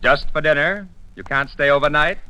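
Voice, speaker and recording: A man's voice: a little high, normal speed, sharp tone, low-quality recording. An actor playing an exasperated middle-aged American husband and father in a 1940s radio comedy, stammering and repeating himself. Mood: bewildered. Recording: vintage 1940s radio recording.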